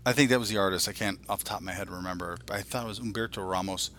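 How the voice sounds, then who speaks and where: A man's voice: deep voice, racing, fairly bright tone. A man in his forties co-hosting a podcast, ranting casually in a blunt, exasperated way with constant profanity. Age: 40s. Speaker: podcast co-host. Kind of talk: casual opinionated podcast rant.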